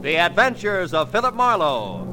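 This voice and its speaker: A man's voice: high voice, big pitch swings, moderate pace, over music, rough recording. A man with an American accent announcing from a script in a formal, declamatory 1940s radio style.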